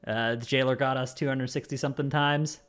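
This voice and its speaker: A man's voice: mid-range voice, fairly even intonation, a little fast, a bit bright, clear, resonant tone. Casual male podcast host. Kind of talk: casual gaming podcast chat.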